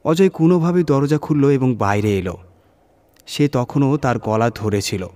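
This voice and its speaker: A man's voice: mid-range voice, lively intonation, a little slow, a little mellow. A man narrating a scripted horror story as its reader.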